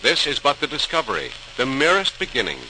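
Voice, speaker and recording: A man's voice: mid-range voice, very animated, fairly quick, music in the background, natural voice. A man narrating a 1940s radio drama as a solemn, suspenseful storyteller, theatrical and emphatic, on a crackling old recording. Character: solemn, suspenseful male storyteller of scientific discovery.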